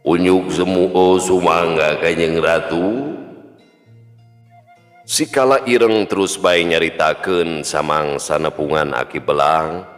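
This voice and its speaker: A man's voice: a bass voice, fairly bright tone. A man with a Sundanese accent narrating a scripted folk tale as a storyteller. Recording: long reverb, music behind.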